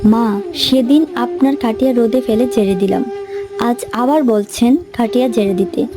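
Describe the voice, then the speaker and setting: Woman acting a high-voiced, scheming young daughter-in-law. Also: moderate pace, close to an everyday voice. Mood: defensive, irritated. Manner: complaining, self-justifying. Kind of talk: animated folk tale voice acting. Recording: music behind the voice.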